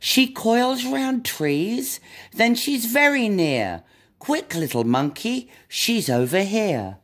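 A woman's voice: fairly high pitch, big pitch swings, slow, voice pushed up, heavily acted. A woman reading a storybook aloud as a helpful, confident but muddled butterfly guide.

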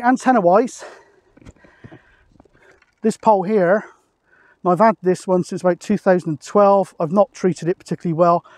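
Casting: British man, forties, high voice, chatty and matter-of-fact hobby vlogger, dry open-air sound. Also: a little mellow, dry recording. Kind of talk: casual explanatory outdoor vlog. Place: open misty hilltop outdoors.

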